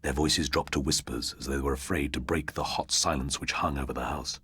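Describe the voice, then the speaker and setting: A man's voice: rumbling low, fairly even intonation, brisk, fairly bright tone, slightly rough, dry recording, clean studio sound. A British man narrating a ghost story for an audiobook in a dramatic, scripted style.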